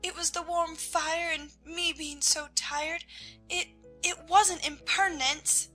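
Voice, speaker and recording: A woman's voice: extremely high pitch, fairly even intonation, takes it slowly, bright, forceful tone, soft background music, pitched above the performer's own voice, exaggerated voice, low-quality recording. A woman voicing a humble, frightened young scullery maid with a Cockney accent, timid and stammering, in a dramatized storybook. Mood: panicked, apologetic.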